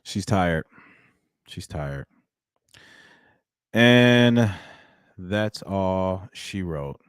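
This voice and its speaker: A man in his thirties hosts a livestream into a studio mic, speaking with headphones on. His pitch swings widely as he preaches and addresses his chat, and the sound is dry and close. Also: very slow, clear, resonant tone, no echo. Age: 30s. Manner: animated, preachy, talks to the chat. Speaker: livestream host. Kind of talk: live commentary.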